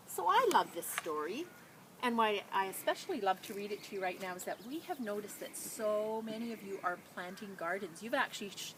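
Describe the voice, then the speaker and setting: A woman who works as a teacher librarian, talking and reading a picture book to children in an expressive, enthusiastic way. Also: mid-range voice, noisy background. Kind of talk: children's story read-aloud with casual introduction.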